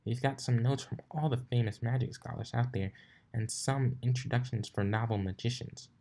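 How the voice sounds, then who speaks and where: A man's voice: medium pitch, fairly even intonation, normal speed, dark tone, lightly acted. A man voicing a bookish, cautious young female unicorn in a storybook reading, explaining things hesitantly. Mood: cautious, earnest.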